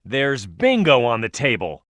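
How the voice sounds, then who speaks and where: A man's voice: medium pitch, wildly swooping pitch, measured pace, a bit bright, no room sound, clean studio sound. A man voicing a tough, hot-headed echidna brawler in a video game, proud and blunt in manner. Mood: easygoing.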